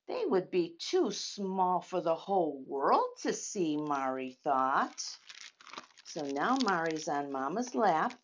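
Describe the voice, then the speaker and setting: A woman teaching and reading a story aloud to young children, speaking in simple, deliberate phrases and asking them questions. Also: on the low side, dull audio.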